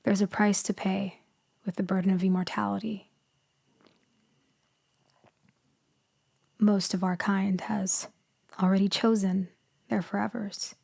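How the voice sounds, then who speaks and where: A woman playing a gentle, melancholy immortal female vampire in a sleep ASMR roleplay, speaking softly and intimately, often slipping into a whisper. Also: medium pitch, no echo. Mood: somber. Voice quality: soft, breathy, often whispering. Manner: slow, hushed, wistful, intimate.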